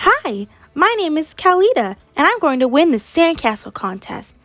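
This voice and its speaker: Teen reader doing friendly confident storybook little girl, high lively voice, upbeat. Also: moderate pace, no room sound.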